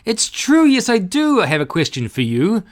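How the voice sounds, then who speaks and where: A man's voice: high voice, huge pitch swings, moderate pace, voice pushed up. A man voicing a small, contented storybook cork that muses philosophically in rhyme. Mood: curious. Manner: rhyming, philosophical. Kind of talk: storybook character read-aloud.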